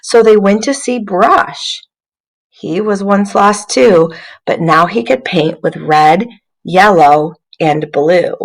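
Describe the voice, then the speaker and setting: Female teacher for interactive picture-book reading, slow delivery, encouraging, puts questions to kids. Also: mid-range voice, low-quality recording. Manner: encouraging, questioning, addresses young listeners directly. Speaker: teacher reading aloud to children. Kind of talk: interactive children's storybook read-aloud.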